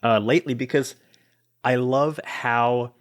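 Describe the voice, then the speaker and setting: A man's voice: medium pitch, steady tone, unhurried. A man chatting casually and eagerly as an enthusiastic guest player on a game podcast. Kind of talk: casual chat.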